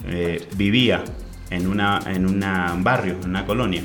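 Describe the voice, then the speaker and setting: A man's voice: low-pitched, normal speed, a bit bright, soft background music. A man from Chile, raised in Argentina, talking as a winemaker guest in an interview, hesitant with many fillers and restarts.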